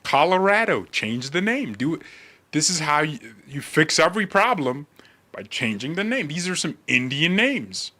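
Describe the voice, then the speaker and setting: A man rambling casually and comedically to livestream viewers in a brash, mocking, animated manner. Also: on the high side, moderate pace.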